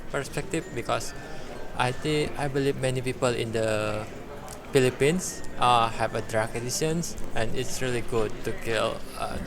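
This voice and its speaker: A man answering a street interview haltingly, with many fillers as he searches for words. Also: mid-range voice. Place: outdoors in a city. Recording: outdoors with clear street noise.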